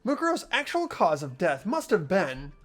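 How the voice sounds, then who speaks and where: Performer voicing an earnest teen boy for a fan game dub; mid pitch, lively delivery. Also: normal speed, faint music behind. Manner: earnest, reasoning aloud.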